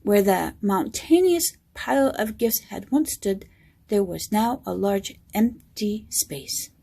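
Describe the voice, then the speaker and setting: Slow, mellow American woman reading a bedtime story. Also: medium pitch, lively intonation, creaky, roomy sound. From Michigan, United States. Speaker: storybook reader.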